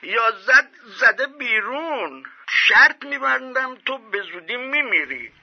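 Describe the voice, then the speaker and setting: A man voicing a frail old homeless man who rants and shouts emphatically in an audio drama. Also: very high voice, big pitch swings, creaky, dull audio, voice pushed up, full character voice. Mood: manic, taunting. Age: older.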